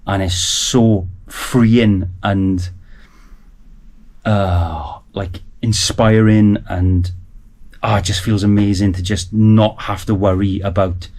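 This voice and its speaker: A man in his forties talks to camera in an excited, rambling way. His voice sits fairly low. He is recording in a small sound-proofed home studio. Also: slow pace. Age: 40s. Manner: enthusiastic, rambling. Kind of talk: casual chat to camera.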